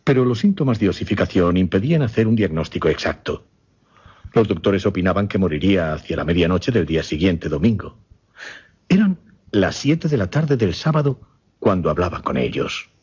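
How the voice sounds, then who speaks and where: Un actor de radioteatro que interpreta a un solemne caballero hipnotizador que relata su experimento macabro, formal y con pausas dramáticas. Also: male voice, sits low, lively intonation, darkish tone, husky, exaggerated voice. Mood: somber. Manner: deliberate, formal, dramatic pauses. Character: grave gentleman hypnotist recounting his macabre experiment. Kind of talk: radio drama acting, dialogue narration. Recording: slightly muffled, lo-fi audio.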